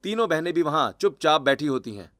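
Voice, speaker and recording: A male storyteller with a fairly high-pitched voice. He delivers scripted, dramatic narration for a cartoon tale. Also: sing-song, brisk, fairly bright tone, no echo, studio quality.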